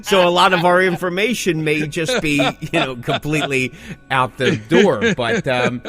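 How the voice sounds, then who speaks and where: A man's voice: on the high side, normal speed. A middle-aged man co-hosting a podcast in casual chat, emphatic and prone to repeating his phrases. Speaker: podcast co-host.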